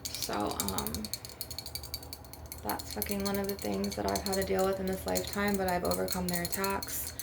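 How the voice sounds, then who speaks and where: A woman's voice: medium pitch, moderate pace. A woman rambling casually in a blunt, aggrieved, sweary way while making an ASMR video with tapping. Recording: clear background noise with clicking, clinking taps. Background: glass and crystal tapping.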